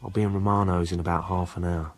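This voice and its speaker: Very deep-voiced, flippant old flame. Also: female voice, steady tone, quick pace. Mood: flippant, wistful. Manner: joking, flirtatious. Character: flippant, persuasive old flame named Marty. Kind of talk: naturalistic radio drama acting.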